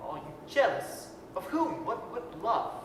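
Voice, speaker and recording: A woman's voice: fairly high pitch, big pitch swings, a little slow. Echoey, ambitious young businessman acted by a woman. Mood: defensive. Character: ambitious young businessman, earnest suitor hungry for wealth. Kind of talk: 1930s radio acting. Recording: very echoey hall, distant, faint noise.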